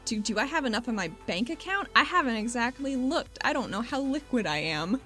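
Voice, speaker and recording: A woman's voice: on the high side, expressive, fairly quick, sharp tone, faint music behind. A woman playing a weary, sardonic young businesswoman investigator, talking casually and a bit hesitantly. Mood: wry, weary.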